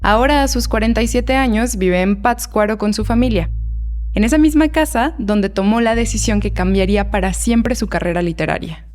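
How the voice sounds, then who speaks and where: A woman narrating a documentary podcast in a measured, scripted storytelling voice. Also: mid-range voice, darkish tone.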